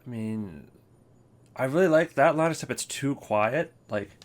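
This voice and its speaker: A man's voice: medium pitch, expressive, unhurried, slight background noise. A man giving casual, opinionated commentary as the host of a ranking video.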